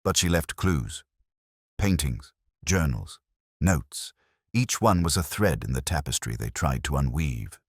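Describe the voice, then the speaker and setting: A man voices a brooding, commanding detective in an audiobook. The voice is extremely deep and deliberate, with cryptic phrasing and a dry, close sound. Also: lively intonation, slightly rough, no echo, full character voice. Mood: grave. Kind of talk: audiobook character reading.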